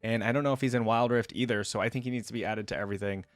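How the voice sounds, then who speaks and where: A man's voice: fairly low pitch, fairly even intonation, rapid-fire, no echo. A man hosting a reaction video, giving enthusiastic, rambling, off-the-cuff commentary in a casual way.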